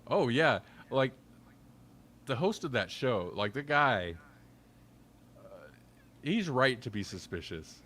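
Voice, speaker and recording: A man hosting a podcast, giving a casual review in a halting, thinking-aloud way. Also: mid-range voice, very animated, a bit bright. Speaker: podcast host.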